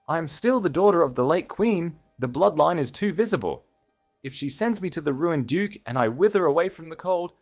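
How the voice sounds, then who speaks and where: Proud princess of twenty-one, played by a male narrator: lifted pitch, formal, coolly defiant, audiobook dialogue. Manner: formal, composed, precise.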